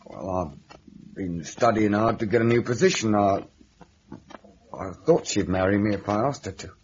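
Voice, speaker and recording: Actor as nervous, stammering lodger, swooping pitch. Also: male voice, animated, normal speed, close to an everyday voice. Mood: dejected, hesitant. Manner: stammering, evasive, defensive. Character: nervous, lovesick working-class lodger hiding guilty fear. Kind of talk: old-time radio drama acting. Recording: dull, noisy old radio recording.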